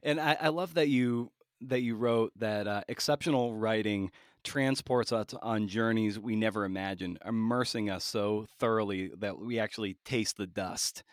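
A man hosting a podcast interview who asks thoughtful questions, often halting and restarting as he speaks. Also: lowish voice, no room sound, clean studio sound. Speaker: podcast host. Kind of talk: conversational interview.

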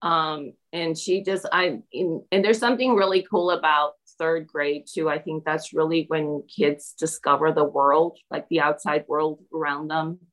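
Female interviewee from Peru, a teacher, slightly low voice, reflective and conversational delivery. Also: steady tone, a little slow, hardly any pauses, fairly bright tone, low-quality recording.

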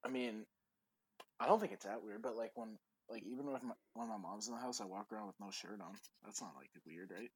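A man's voice: lowish voice, brisk, slightly rough, rough recording. A young man with a lisp, rambling and swearing in a casual podcast chat with a friend. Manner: rambling and profane, full of "like". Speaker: podcast co-host.

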